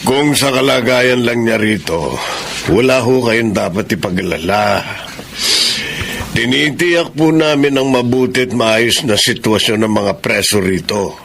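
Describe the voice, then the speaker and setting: A fatherly Filipino prison warden, firm and measured in a radio drama. His voice sits at an average pitch, with slight noise in the broadcast. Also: expressive, a bit bright. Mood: grave, reassuring.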